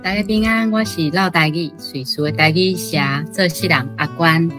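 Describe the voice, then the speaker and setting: A woman's voice: lowish voice, normal speed, loud music behind the voice. A woman speaking as a guest commentator, sharing informal reflections in a conversational way. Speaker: guest commentator, book club member.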